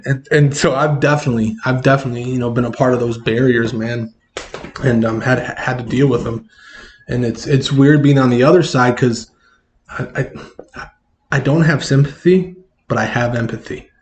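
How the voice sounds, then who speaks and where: A man speaks in a loud voice that carries, talking openly as an interview guest and tagging his points with a questioning "right?". He stumbles over his words and restarts as he thinks aloud. His pitch sits in the middle, and a faint ambient hum runs underneath. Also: steady tone, normal speed. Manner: tags sentences with "right?"; candid, repeats and restarts words. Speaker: social worker guest. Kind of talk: casual conversation.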